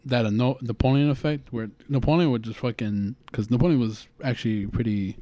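A young man in his twenties with a high voice, chatting casually and profanely as a podcast co-host. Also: fairly even intonation, dark tone, no room sound. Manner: rapid, profane storytelling. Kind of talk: casual chat.

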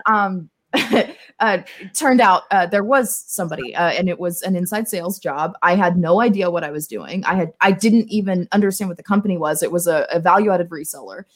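A young adult woman, a podcast guest, chatting casually and telling stories in an animated, theatrical, self-mocking way. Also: fairly low pitch. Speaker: podcast guest, tech marketer. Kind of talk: casual conversational storytelling.

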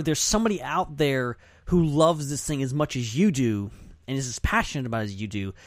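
A man's voice: mid-range voice, dry recording, studio quality. A man hosting a casual podcast chat, talking in an effusive, affirming way. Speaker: podcast host.

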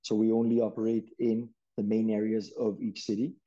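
A young man from Peru, a startup CEO, answering interview questions in a relaxed way with many restarts and fillers. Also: lowish voice, fairly quick, muffled recording.

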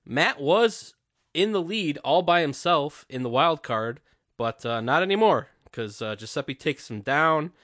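Bright-voiced man hosting casual sports chat. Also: on the high side, unhurried, no room sound, clean studio sound. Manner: relaxed, rambling, trails off often. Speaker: podcast host.

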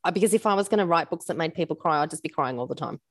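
Low-pitched Australian woman. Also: lively intonation, racing, no room sound. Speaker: guest author. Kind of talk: casual conversation and reading own fiction aloud.

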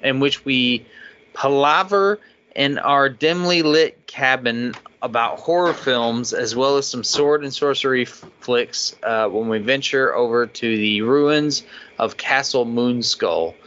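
Bright-toned man reading podcast emails. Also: medium pitch, takes it slowly. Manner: laughs often while reading, loose and chatty. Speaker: podcast co-host reading listener feedback. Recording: dull lo-fi podcast audio.

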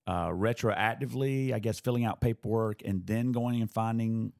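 Low-voiced thoughtful podcast host man. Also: steady tone, normal speed, creaky, darkish tone. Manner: thoughtful, steers with questions. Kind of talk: casual conversational podcast.

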